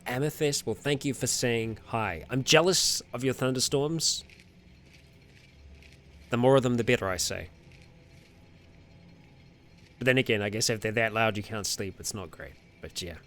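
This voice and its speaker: A man's voice: mid-range voice, normal speed, a bit bright. A man hosting a casual livestream and answering viewer comments, rambling a little with fillers, self-corrections and frequent laughs. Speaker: streamer host.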